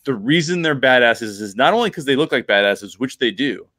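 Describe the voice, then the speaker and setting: A man's voice: mid-range voice, very animated, brisk, a bit bright, no echo, studio quality. A middle-aged man hosting a podcast, talking casually and explaining things with emphasis. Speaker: podcast host. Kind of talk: casual film discussion. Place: small bedroom, indoors. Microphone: close podcast microphone with foam cover.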